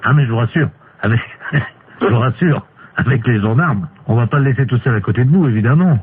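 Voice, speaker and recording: A man playing a hesitant, deadpan male court official in an improvised telephone prank. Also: mid-range voice, brisk. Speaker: prank caller. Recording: tinny phone line with clear hiss.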